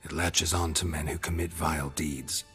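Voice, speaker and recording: An actor playing a grizzled monster hunter who talks in terse, clipped, matter-of-fact sentences. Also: male voice, rumbling low, flat delivery, moderate pace, a bit bright, husky, faint music behind, heavily acted. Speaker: game protagonist.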